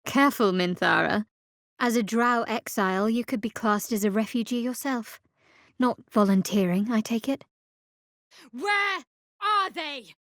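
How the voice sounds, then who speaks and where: A woman voicing a guarded, sardonic young cleric of a dark goddess in a video game, with a dry, measured, clipped delivery. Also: on the high side, animated, no room sound. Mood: urgent, incanting. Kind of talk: video game voice acting, companion dialogue and battle barks.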